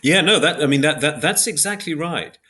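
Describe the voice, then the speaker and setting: A man explaining ideas as an interview guest in a measured, precise academic way, often repeating and reformulating phrases as he thinks. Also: on the high side, expressive, sharp tone.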